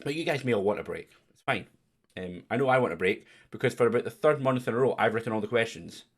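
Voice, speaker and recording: A man's voice: quick pace, slightly rough. A man hosting a livestreamed quiz in a chatty, teasing way. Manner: teasing, chatty, lively jumps in pitch. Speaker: quiz host. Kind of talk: casual livestream chat.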